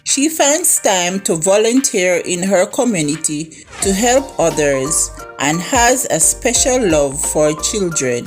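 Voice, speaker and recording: A woman hosting an interview, calm and encouraging, prompting her guest with short replies. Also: on the low side, takes it slowly, soft background music. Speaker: host.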